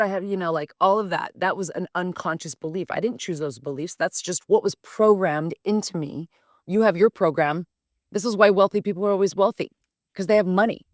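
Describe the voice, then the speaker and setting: A woman's voice: lowish voice, dry recording, clean studio sound. Blunt, profane woman in her fifties. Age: 50s. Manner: blunt, profane, animated and emphatic. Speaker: podcast host. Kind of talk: casual personal storytelling.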